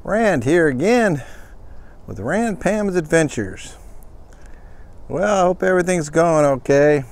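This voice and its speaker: A man's voice: a little high, animated, slow, vocal fry. Folksy man rambling through a how-to. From Southern California. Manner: rambling, self-correcting, folksy. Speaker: vlogger. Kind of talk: casual how-to vlog. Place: outdoors by a truck camper.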